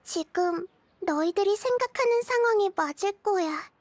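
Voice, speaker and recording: A woman playing a small, wise child deity in a game, speaking in a calm, measured, thoughtful way. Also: very high-pitched, dry recording. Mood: calm, explaining. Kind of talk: scripted game character dialogue.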